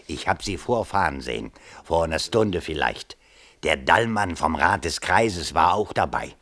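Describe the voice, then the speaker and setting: An actor playing a chatty, folksy pub landlord in a radio play, knowing, teasing and colloquial. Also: male voice, low voice, animated, measured pace, a bit bright, exaggerated voice. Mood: gossipy. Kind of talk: 1970s German radio play acting.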